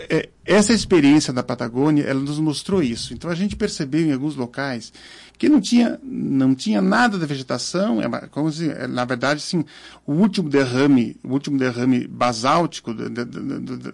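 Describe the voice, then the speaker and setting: A man in his fifties with a southern Brazilian accent, answering interview questions earnestly and haltingly, repeating words as he searches for phrasing. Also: medium pitch, expressive, creaky. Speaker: interview guest, organic farmer.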